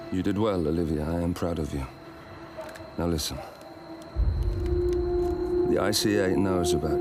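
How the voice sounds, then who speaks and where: An actor playing a steady, authoritative male leader of a shadowy group in a game cutscene, speaking in short sentences. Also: deep voice. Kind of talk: scripted game cutscene dialogue. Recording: loud music behind the voice.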